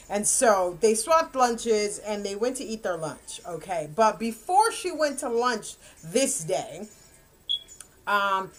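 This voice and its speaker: A woman in her fifties giving a rambling, casual book review, often correcting herself and laughing. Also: medium pitch. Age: 50s.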